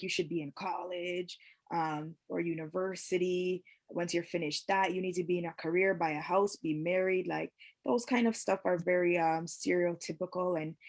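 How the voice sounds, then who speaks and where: A woman speaking as a panellist in an online discussion, thoughtful and hesitant, pausing often. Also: fairly low pitch, a little mellow. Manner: thoughtful, hesitant, many pauses. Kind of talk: reflective panel talk. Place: online video call.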